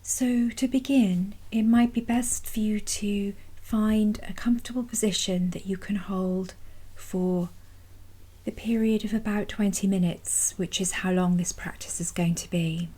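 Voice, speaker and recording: Woman, meditation guide, soft and husky, dark mellow tone, gentle, slow unhurried delivery, long pauses. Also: mid-range voice, fairly even intonation. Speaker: mindfulness practice guide. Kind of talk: guided body scan meditation.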